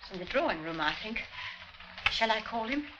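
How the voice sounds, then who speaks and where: A woman's voice: medium pitch, lively intonation, a little fast, highs cut off, lightly acted. An actress playing a polite, anxious landlady and mother in 1940s radio drama. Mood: polite, uneasy. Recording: noisy old radio recording.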